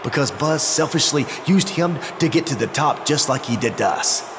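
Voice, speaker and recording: A male actor plays an arrogant heel wrestler in a video game, scheming and theatrical. His fairly high male voice moves up and down a lot as he goads the crowd. It rings through a big arena over clear background noise. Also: lively intonation, moderate pace, in a large hall, natural voice. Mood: smug, goading. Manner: goading, theatrical. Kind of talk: wrestling promo on microphone.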